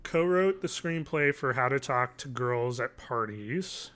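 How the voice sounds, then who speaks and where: A man's voice: medium pitch, expressive, measured pace, a bit bright. A man in his thirties, a podcast co-host chatting and joking casually about film and TV, with some swearing, close on a mic at home. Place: small home room, remote call.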